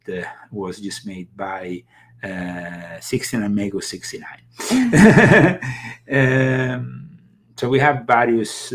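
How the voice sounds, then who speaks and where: A man hosts a live commentary show in a fairly low voice. He talks in a loose, rambling way, full of fillers and restarts. Now and then he picks up into bursts of emphasis. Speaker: host.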